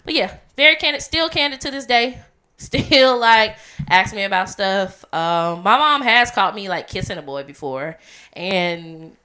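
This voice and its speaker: Loud, animated young woman from the Caribbean. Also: mid-range voice, unhurried. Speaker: podcast co-host. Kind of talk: casual chat.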